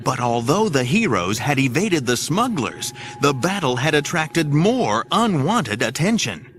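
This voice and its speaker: A man's voice: fairly high pitch, sing-song, unhurried, fairly bright tone, faint music behind. A man narrating an audio drama in an urgent, theatrical storytelling style.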